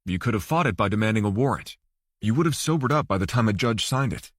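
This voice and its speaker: A man's voice: on the low side, expressive, quick pace, no echo, clean studio sound. A man voicing a wry, plain-spoken male ex-lawyer in an audiobook, blunt and direct.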